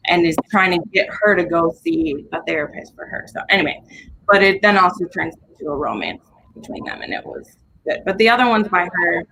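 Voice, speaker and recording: A woman's voice: on the low side, fairly bright tone. Woman chatting casually, noticeably noisy audio. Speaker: book reviewer in a livestream readalong. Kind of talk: casual group chat about books. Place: at home on a group video call. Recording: noisy home livestream audio.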